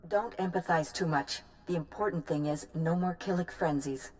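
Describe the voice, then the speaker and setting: A woman's voice: deep voice, normal speed, darkish tone, close to an everyday voice, low-quality recording. A woman playing a pragmatic, hard-nosed military science commander in a video game, clipped and businesslike. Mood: brusque. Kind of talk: video game character acting.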